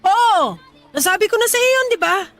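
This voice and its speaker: A woman's voice: extremely high pitch, sing-song, moderate pace, fairly bright tone, exaggerated voice. A woman acting a brusque, irritable tomboyish young woman in a radio drama, snapping curt, scornful retorts.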